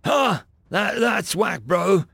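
A man's voice: sits high, big pitch swings, vocal fry, a bit bright, no echo, clean studio sound, voice pushed up, heavily acted. A man voicing a brash, slangy young replacement Marine who gripes scornfully, in an audiobook story. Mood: incredulous. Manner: slangy, drawn-out griping with bro talk.

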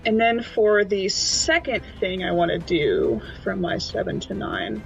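A woman's voice: mid-range voice, sing-song, unhurried, vocal fry, soft background music. Slightly muffled, playful woman gamer. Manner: informal, playful. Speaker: tabletop game player. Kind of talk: casual in-game chat and description.

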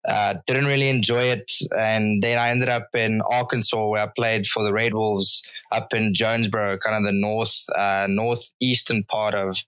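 A young man, a rugby player speaking as a guest in a relaxed, friendly way. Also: fairly low pitch, moderate pace, hardly any pauses, clear, resonant tone, no echo. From South Africa. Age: young adult. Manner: relaxed and friendly, with many fillers. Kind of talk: casual interview.

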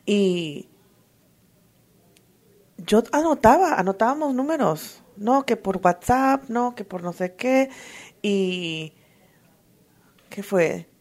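A woman giving an emotional, earnest personal testimony at a recovery meeting, halting often to restart her sentences. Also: medium pitch, a little mellow. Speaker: twelve-step fellowship member sharing.

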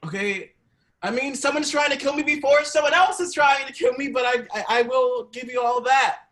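A man's voice: extremely high pitch, normal speed, a bit bright, voice pushed up. A man improvising an oblivious, rambling, flustered newspaper editor in a comedy skit. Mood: resigned, flustered.

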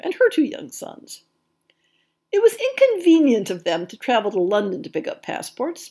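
High-pitched, lively woman narrating history. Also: breathy edge. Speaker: podcast host. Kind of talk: scripted narration of a history essay.

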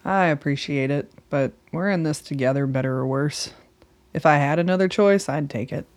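A woman's voice: deep voice, moderate pace, darkish tone, dry recording, close to an everyday voice. An actress playing a guarded, weary young woman traveler in a radio play, with an understated, dry manner.

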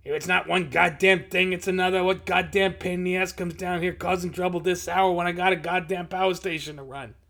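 A man voicing a gruff, irritable, bellowing station boss in a comic audio story. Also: high-pitched, steady tone, brisk, few pauses, a bit bright, voice pushed up. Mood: grumbling. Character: grumpy, profane, bellowing male power station supervisor.

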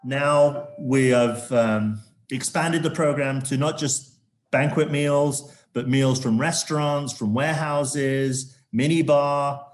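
A man from Zambia, a corporate sustainability executive, talking as an interview guest with a halting delivery full of restarts. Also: medium pitch, fairly even intonation. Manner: halting, many restarts and fillers.

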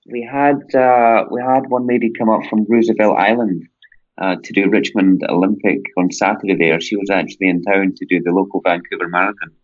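A man's voice: lowish voice, steady tone, a little fast, lo-fi sound. A Scottish man from Scotland, a guest on an interview podcast, talking enthusiastically and rambling through long lists. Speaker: parkrun Canada guest.

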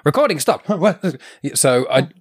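A man in his twenties hosting a podcast interview, chatty and quick to laugh, his voice a little croaky. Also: fairly high pitch, lively intonation, dry recording, studio quality. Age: 20s. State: slightly croaky, losing his voice. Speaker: podcast host. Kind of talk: casual interview chat.

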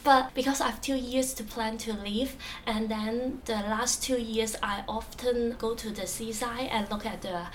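Cantonese-speaking woman, Hong Kong accent, higher voice, halting replies full of fillers, mic a bit far, faint noise behind. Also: steady tone. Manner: hesitant, frequent uh fillers and restarts. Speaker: interviewee. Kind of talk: casual interview answers.

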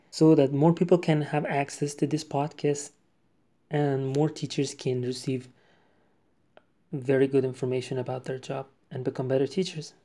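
A man's voice: fairly high pitch, dark tone. A man from Iran, an English speaker, hosting a solo podcast in a conversational, unscripted way. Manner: conversational, frequent fillers and restarts. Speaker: podcast host.

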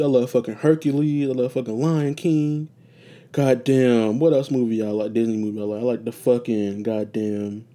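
A young man hosting a solo casual talk show, rambling with loose, profane chatter and many fillers, his voice getting raspy and sleepy. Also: mid-range voice, dark tone. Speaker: podcast host.

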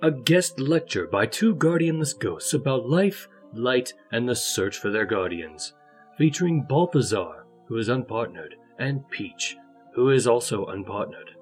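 A man's voice: mid-range voice, expressive, a little slow, faint music behind. A man narrating a scripted dramatic reading in a formal, announcing manner. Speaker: narrator and reader.

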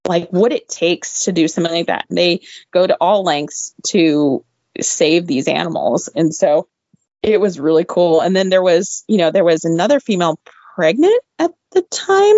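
Lively woman telling stories, dull dry audio. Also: fairly low pitch, moderate pace, dry recording. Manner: energetic, laughs often, jumps into exclaimed asides. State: losing her voice. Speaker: guest, former veterinary intern. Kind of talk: animated personal storytelling.

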